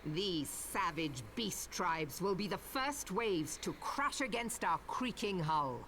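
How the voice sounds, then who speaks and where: An actress playing a stern, commanding admiral in a video game, delivering grave nautical oratory in archaic English. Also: female voice, fairly low pitch, animated, a bit bright, a little hiss or hum. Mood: grave, warning. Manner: grave, deliberate, declamatory. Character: stern commanding female admiral rallying her pirate crew.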